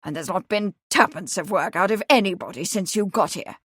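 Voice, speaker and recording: A woman's voice: mid-range voice, fairly quick, breathy edge, no room sound, lightly acted. A woman acts out a formidable older lord in a period audiobook. He is irascible and imperious, biting off his words, recorded close and dry. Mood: thundering anger. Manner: thundering, snapping, imperious. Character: formidable, irascible aristocratic father, older man.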